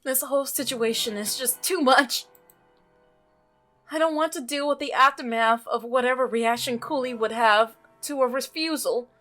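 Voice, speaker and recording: A woman's voice: high voice, fairly bright tone. A woman reading the scripted first-person narration of an uneasy visual novel protagonist.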